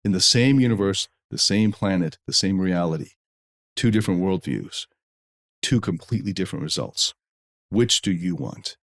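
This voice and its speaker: A man hosting a podcast alone, recovering from a head cold that lowers his voice, talking in an earnest, preachy way in long run-on lists, in an echoey room while traveling. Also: animated, moderate pace, a bit bright. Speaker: podcast host. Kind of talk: solo podcast monologue.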